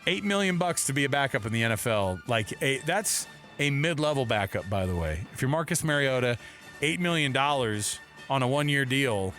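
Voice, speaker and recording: A man's voice: medium pitch, expressive, moderate pace, fairly bright tone. American man bantering on sports radio, music behind. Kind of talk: casual sports talk show banter and commentary. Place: radio studio.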